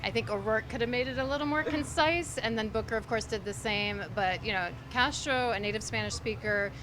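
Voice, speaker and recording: A woman from Miami, a newspaper columnist, giving measured, opinionated takes on politics on a live stream. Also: on the high side, music in the background. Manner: measured and opinionated, weighing her words. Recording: noisy live-stream background.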